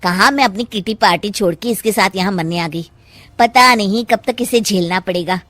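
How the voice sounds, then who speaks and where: A woman's voice: medium pitch, normal speed, fairly bright tone, dry recording, close to an everyday voice. Woman as a greedy, grumbling young daughter-in-law. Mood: resentful. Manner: grumbling, muttering to herself. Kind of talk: cartoon story dialogue.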